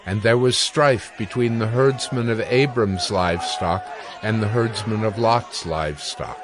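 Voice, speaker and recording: Low-voiced male scripture narrator. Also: unhurried, background noise.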